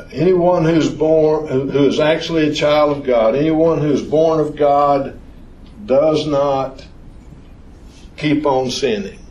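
A man teaching an informal Bible study lesson, talking slowly and haltingly with restarts and questions to the class. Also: fairly high pitch, a little mellow, slight background noise. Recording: echoey room.

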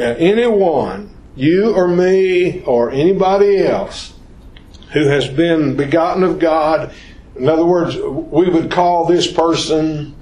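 A man teaching an informal Bible study lesson, talking slowly and haltingly with restarts and questions to the class. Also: a little high, a little mellow. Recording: echoey room.

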